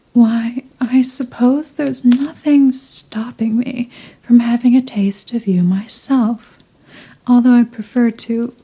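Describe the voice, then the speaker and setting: A woman playing a haughty, teasing, possessive elder vampire in an ASMR roleplay, speaking softly and seductively in condescending, drawn-out phrases. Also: on the high side, rough recording. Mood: teasing, predatory. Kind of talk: ASMR roleplay, scripted character acting.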